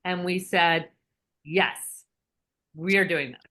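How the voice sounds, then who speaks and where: Slow-talking woman in an interview. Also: on the low side, steady tone, bright, forceful tone. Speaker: documentary filmmaker, interview guest. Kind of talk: conversational storytelling in an interview.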